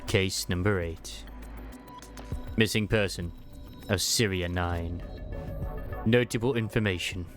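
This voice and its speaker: Guy doing a serious low commander voice, measured and terse, music under it. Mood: grave. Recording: music behind the voice.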